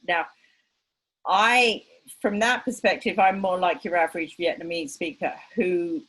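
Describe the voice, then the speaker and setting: A woman's voice: on the low side, low-quality recording. A woman with an Estuary English accent from England giving an online linguistics lecture in a chatty, self-deprecating way, with many fillers. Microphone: video call.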